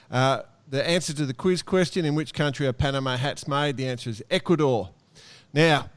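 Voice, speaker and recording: An Australian man hosts a relaxed podcast in a smooth, resonant voice. His pitch sits in the middle, and he speaks jovially, as if among friends. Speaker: podcast host.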